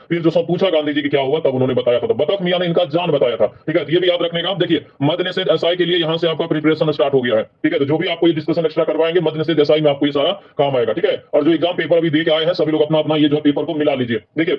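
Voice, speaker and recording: A male coaching teacher with a Bihari accent rattles through exam questions in a rather high voice. He repeats himself often and keeps prompting viewers to answer. The sound is slightly dull and lo-fi. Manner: repetitive, prompting, frequent check-in tags. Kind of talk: online exam review lesson, quizzing viewers.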